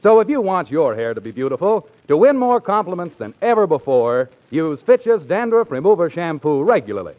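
A man's voice: on the high side, very animated, normal speed, few pauses. An American man reading advertising copy as a commercial announcer in a 1940s radio style. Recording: dull 1940s radio recording.

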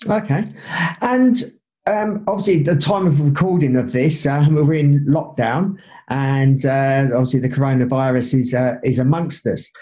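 A man's voice: mid-range voice, moderate pace, mellow. A man hosting an interview podcast who introduces his guest and asks chatty questions full of fillers. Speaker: podcast host.

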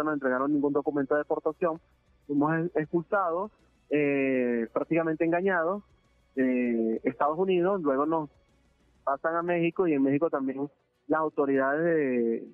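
A man from Venezuela speaks over a thin, tinny phone line. His voice sits a little high for a man, and he talks earnestly, stopping and restarting often with filler sounds. The sound is dry and close. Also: dry recording. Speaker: interviewee, deported migrant. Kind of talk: phone interview testimony.

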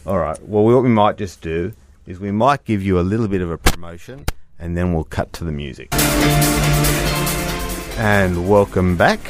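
A man's voice: deep voice, normal speed, pauses often, over loud music. A middle-aged man hosting a radio show, chatty, explaining things patiently with plenty of fillers. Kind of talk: casual radio chat.

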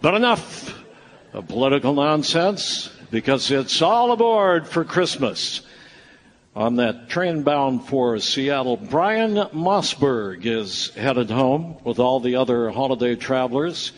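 A man's voice: medium pitch, animated, takes it slowly, long reverb tail, a little hiss or hum. A man announcing and narrating a live radio play on stage, with a wry, theatrical showman's delivery.

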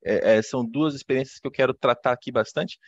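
A man's voice: mid-range voice, fast talker, no room sound, dull audio. A man with a Brazilian accent hosting an interview, speaking fluently in a friendly, explanatory way. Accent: Brazilian Portuguese. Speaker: podcast host, interviewer.

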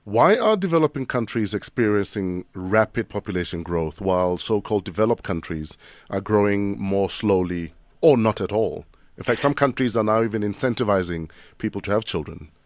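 Upbeat radio host. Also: male voice, lowish voice, expressive, moderate pace, no room sound. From South Africa. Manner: upbeat, poses short direct questions. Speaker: radio breakfast show host. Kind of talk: radio interview hosting. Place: radio studio. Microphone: studio microphone.

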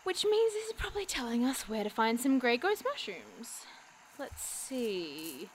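Woman acting as earnest, high-voiced young sleuth. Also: sing-song, a little slow, slight background noise, low-quality recording. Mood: puzzled. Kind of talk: audio drama acting.

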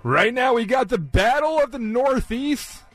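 A man's voice: very high-pitched, lively intonation, measured pace. A man working as a radio show producer, chatting on air and hyping a listener contest. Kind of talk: casual talk radio banter.

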